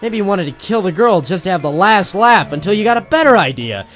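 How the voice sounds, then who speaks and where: A man's voice: high voice, sing-song, brisk, fairly bright tone. A man playing a weary, wry private detective, narrating and talking tersely in a noir radio drama. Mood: accusing. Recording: music playing behind the voice.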